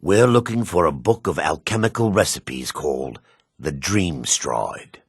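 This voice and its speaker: An actor playing a devout, remorseful dark elf priest in a fantasy video game, speaking formally and gravely with a raspy voice. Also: male voice, a bass voice, lively intonation, dry recording, studio quality, full character voice. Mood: solemn. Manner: formal, measured, grave delivery.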